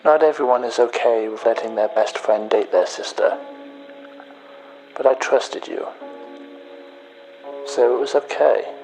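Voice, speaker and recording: A man playing a bitter, betrayed man leaving a cold, accusing message in an audio drama, in a hushed, deliberate, taunting manner. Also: on the low side, creaky, rough recording. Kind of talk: dramatic audio story monologue.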